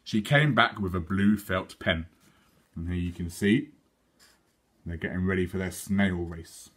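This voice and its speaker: A British man, a teacher, reading a storybook aloud to children in an animated way. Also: low-pitched, measured pace.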